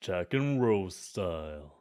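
An eager, chatty gym bro, played by a man with a slightly low voice. He talks in an earnest, friendly way, explaining workouts in an ASMR roleplay. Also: expressive, slow, warm tone.

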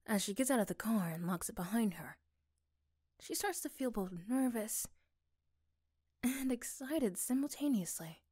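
A woman's voice: a little high, expressive, a little slow, long pauses, darkish tone, breathy edge, dry recording, clean studio sound. A woman reading scripted story narration for an ASMR roleplay.

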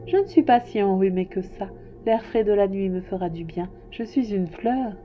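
A woman's voice: mid-range voice, expressive, moderate pace, muffled recording, faint music behind, natural voice. Woman voicing a proud, delicate storybook flower. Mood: brave, naive pride. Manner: coquettish, proud, hiding sorrow. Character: proud, fragile female flower, tender but touchy.

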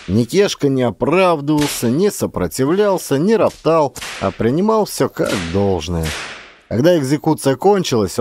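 Animated male audiobook narrator. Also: medium pitch. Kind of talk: dramatic scripted literary narration.

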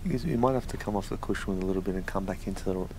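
A man commentating on a live snooker stream in a loud, chatty way, often repeating words. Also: low-pitched, racing. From Greek heritage. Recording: noisy live stream audio.

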